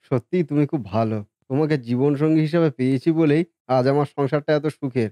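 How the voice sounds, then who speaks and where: A man's voice: a little high, normal speed, mellow, no room sound, lightly acted. A man voicing a kindly, earnest married brother and husband in a Bengali family cartoon. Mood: grateful.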